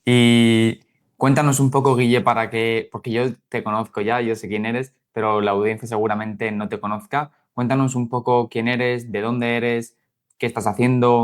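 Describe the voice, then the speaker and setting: A man interviewing a guest for a podcast in an informal, conversational way, often rephrasing his questions. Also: medium pitch.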